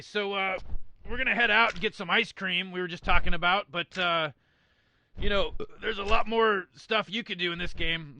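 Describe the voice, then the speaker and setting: A man's voice: sits high, very noisy. A man voicing a cocky, eccentric old male scientist in a fan game playthrough, rambling smugly and brushing off worries. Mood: casual. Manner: rambling, smug, dismissive. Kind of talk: fan impression during a video game playthrough.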